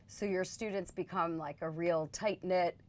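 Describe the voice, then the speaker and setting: A woman hosting an interview in a slightly low voice. She introduces guests and asks friendly, prepared questions. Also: fairly even intonation, normal speed, a little mellow. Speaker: interview host.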